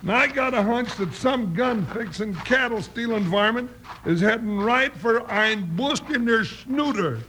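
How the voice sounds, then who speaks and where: An American man playing a folksy, loyal, excitable cowboy sidekick with drawling Western slang in an old-time radio western. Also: very high-pitched, measured pace. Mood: suspicious. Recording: noisy, clicky old radio recording.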